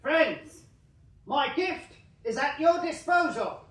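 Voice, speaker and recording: A nervous young Victorian medium. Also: male voice, very high-pitched, animated, a bit bright, exaggerated voice. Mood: hesitant. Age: young adult. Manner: hesitant, halting, stammering. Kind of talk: 1980s radio drama acting. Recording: echoey stage sound from a distance.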